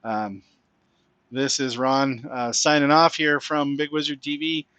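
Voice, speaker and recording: A man's voice: mid-range voice, little pitch movement, measured pace, a bit bright, dry recording, highs cut off. A man hosting a sim-racing broadcast, interviewing drivers after the race in an easygoing way with fillers. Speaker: race commentator host.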